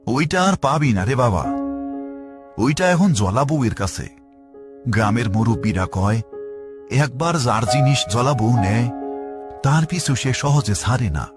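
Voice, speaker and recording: A man's voice: medium pitch, animated, unhurried, pauses often, music in the background, close to an everyday voice. A man playing a stern, protective village uncle, grave and scolding, with a rural Bangladeshi Bengali dialect. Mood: grave concern.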